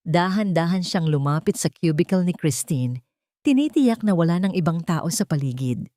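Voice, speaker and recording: Female narrator for scripted romance drama: lowish voice, very varied intonation, dry close sound. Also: animated, normal speed, warm tone, dry recording. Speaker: story narrator.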